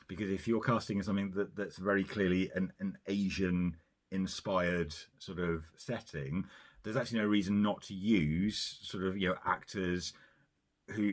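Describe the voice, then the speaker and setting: A man's voice: sits low, a bit bright. A man co-hosting a casual film review podcast, rambling and hedging his points with lots of "you know" and "sort of". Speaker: podcast co-host.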